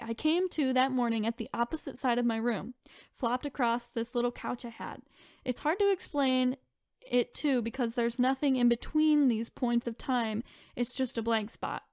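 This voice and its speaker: A woman's voice: fairly high pitch, darkish tone, no room sound, studio quality. A woman co-hosting a podcast, reading online stories aloud and chatting in an informal, conversational way. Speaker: podcast co-host.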